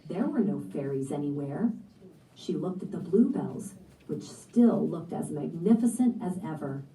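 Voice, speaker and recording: A woman's voice: low-pitched, lively intonation, a little slow, warm tone. A woman reading a scripted children's story aloud as narrator. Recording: echoey room, picked up from a distance.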